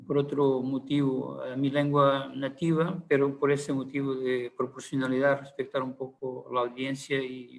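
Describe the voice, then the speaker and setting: A man's voice: mid-range voice, gravelly, poor audio. A man speaking Spanish with a Portuguese accent, a panelist giving a conference talk, hesitant with many fillers and restarts.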